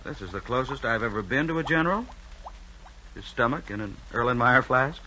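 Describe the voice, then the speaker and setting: A jaunty, chatty chemist type, bright-voiced and swinging widely in pitch. He sounds brisk and casual on a dull old radio recording. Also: lively intonation, a little hiss or hum. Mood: jaunty, absorbed. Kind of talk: old-time radio drama acting.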